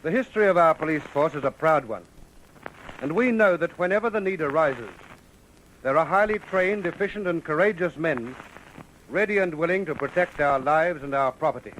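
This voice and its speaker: High-pitched, solemn Australian male radio narrator. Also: fairly bright tone. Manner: solemn, declamatory. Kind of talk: formal scripted radio narration. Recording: noisy old radio broadcast recording.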